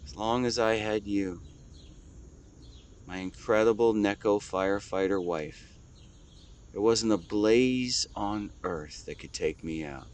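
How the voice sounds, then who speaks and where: A man playing a weary, devoted husband in a scripted dramatic monologue, speaking tenderly and haltingly as if to his wife. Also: fairly low pitch, a little mellow. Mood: tender, apologetic. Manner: halting, earnest, intimate delivery. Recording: clear background ambience with nature sounds.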